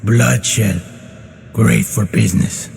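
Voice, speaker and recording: A man's voice: lowish voice, fairly even intonation, takes it slowly, gravelly, a little mellow, heavily acted. An actor playing a cynical, bloodthirsty henchman in a video game, crude, blunt and mocking. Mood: sneering. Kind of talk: scripted video game drama. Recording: reverberant hall, music behind.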